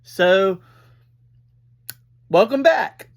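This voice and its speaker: Slow, halting man reviewing casually. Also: high voice, vocal fry. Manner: halting, easily sidetracked, emphatic; upbeat, energetic. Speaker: podcast reviewer and host. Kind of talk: casual rambling episode review.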